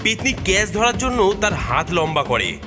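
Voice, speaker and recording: A man's voice: sits high, very animated, normal speed, loud music behind the voice. A man narrating a children's cartoon story in a dramatic storytelling manner.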